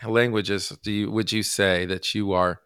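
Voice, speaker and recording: A man from the United States hosting a casual podcast interview, halting with many fillers and restarts. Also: on the low side, level tone, fairly bright tone, clear, resonant tone, no echo, studio quality. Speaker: podcast host.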